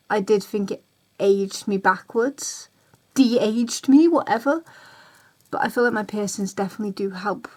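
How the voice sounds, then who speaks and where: A woman, talking casually straight to camera, rambling and repeating herself. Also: mid-range voice. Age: 20s. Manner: chatty, repeats herself, voices other people's reactions. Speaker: vlogger. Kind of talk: casual ranting vlog.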